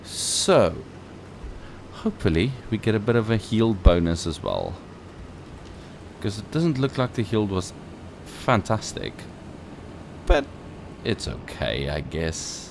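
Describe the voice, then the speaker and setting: A man's voice: on the low side, wildly swooping pitch, slow, gravelly, background noise. A man who is not a native English speaker gives casual gameplay commentary as a let's play host, thinking aloud with playful asides.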